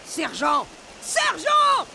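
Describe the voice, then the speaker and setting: A man voicing an angry crocodile gang brute in a video game dub, barking orders in a gravelly voice. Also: very high voice, huge pitch swings, drawn-out words, full character voice. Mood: enraged. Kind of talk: dubbed video game acting. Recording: loud rain-like noise over the voice.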